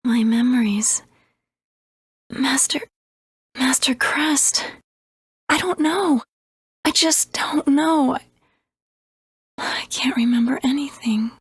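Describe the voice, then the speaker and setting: A woman voicing a fragile, devoted young girl apprentice in a video game, breathy and hesitant. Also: sits high, no echo, heavily acted. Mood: lost, tearful. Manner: hesitant, halting.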